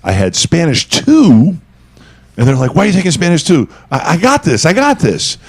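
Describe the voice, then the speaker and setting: A man co-hosting a casual podcast, telling jokes and school stories with lively acted-out quotes. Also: on the high side, moderate pace, gravelly, slightly rough. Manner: animated joke-teller, acts out quoted voices.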